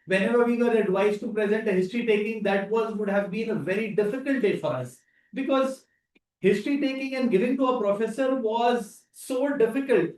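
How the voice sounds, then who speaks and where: A middle-aged Indian man lectures medical students in an earnest, advising way, leaning on repetition to drive points home. His voice sits high for a man and comes from some distance from the mic. The room around him rings with echo. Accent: Indian English. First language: Urdu. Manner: earnest, advising, repeats for emphasis. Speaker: doctor teaching medical students. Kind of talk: online workshop lecture.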